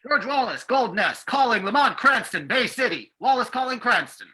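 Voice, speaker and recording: An actor playing a terse field informant reporting by shortwave in an old-time radio drama, clipped and urgent with a rough, husky voice. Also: male voice, very high voice, animated, moderate pace, bright, forceful tone, heavily acted. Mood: urgent call.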